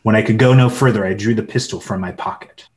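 A man's voice: on the low side, fast talker. A man giving dramatic scripted narration as the storyteller of an adventure radio play.

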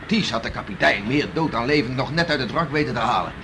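An actor playing a hardy, boastful beachcomber husband in a 1960s radio play, bluff and emphatic. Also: male voice, medium pitch, animated, quick pace, close to an everyday voice. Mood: storytelling. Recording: noisy old radio play recording.

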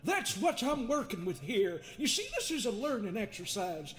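An unhinged, folksy therapist in a comedic horror game dub. He talks high and swoops wildly between mockery and menace. Clear noise sits behind the voice. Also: sing-song, normal speed. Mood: mocking, irritated. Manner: theatrical, mocking, folksy, swinging into giggles.

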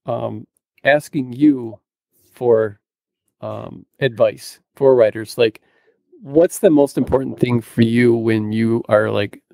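A man co-hosting a podcast, asking casual interview questions in a halting, hesitant way, close to his mic. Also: medium pitch, creaky, darkish tone, dry recording. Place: home room with books, on a video call. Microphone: close mic on a boom arm.

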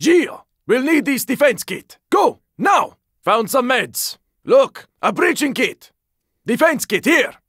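A gravelly, intense zombie-apocalypse survivor. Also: male voice, very high voice, big pitch swings, slow pace, dry recording, clean studio sound, full character voice. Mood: terse, focused. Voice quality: gravelly, raspy. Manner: terse, intense callouts, often strained or shouted. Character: gruff, battle-worn male zombie-apocalypse survivor, urgent and protective. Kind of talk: video game combat voice lines.

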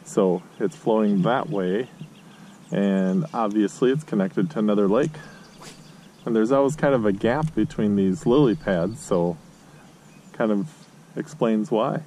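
A man's voice: lowish voice, a little slow, slight background noise. A middle-aged man chatting casually while fishing from a boat on a lake, recorded on an action camera. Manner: relaxed, chatty, cheering on fish. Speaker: fishing vlogger.

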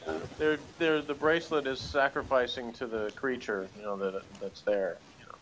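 A man's voice: mid-range voice, fairly even intonation, moderate pace, a bit bright, poor audio. American guy chatting on a review podcast, kinda blunt, some noise behind him. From United States. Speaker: podcast co-host. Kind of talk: casual review chat. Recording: clear background noise.

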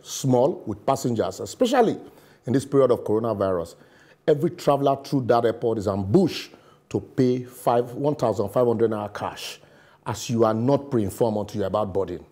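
A man's voice: medium pitch, mellow. Sardonic Nigerian man delivering studio commentary. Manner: sarcastic, emphatic, drops into pidgin asides. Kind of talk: scripted opinion commentary. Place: television studio.

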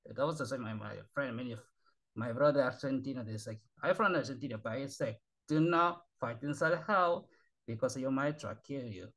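A weary man whose first language is Spanish talks in halting, broken English, repeating himself and sighing between phrases. His voice sits in a middle register and is recorded on a webcam in a small bedroom. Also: mid-range voice, a bit bright. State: tired. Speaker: channel host. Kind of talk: rambling webcam outro. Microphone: webcam at desk.

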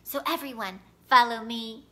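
A woman voicing a brisk, welcoming young male tour guide in a storybook, announcing emphatically. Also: a little high, bright, forceful tone.

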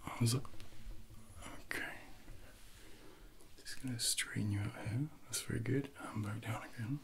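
A man in his thirties speaking in a soft, breathy near-whisper, gently and slowly coaching a workout as an ASMR personal trainer. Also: mid-range voice, steady tone, slight background noise. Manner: slow, gentle, hushed coaching.

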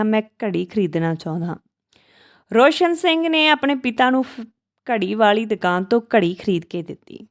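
Female storyteller, slightly high pitch, emotional moral tale read in short broken phrases.

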